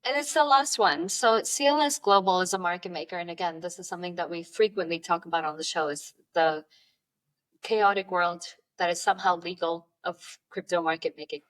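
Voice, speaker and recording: A low-voiced woman explaining news. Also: brisk, fairly bright tone, poor audio. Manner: upbeat, explains news in a conversational way. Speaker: podcast co-host. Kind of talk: casual chat.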